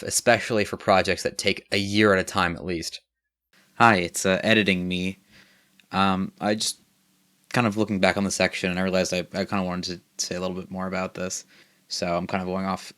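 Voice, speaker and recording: Low-voiced sick man giving a channel update. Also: fairly bright tone. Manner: candid and self-deprecating, halting when unscripted. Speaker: channel creator. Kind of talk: casual update monologue.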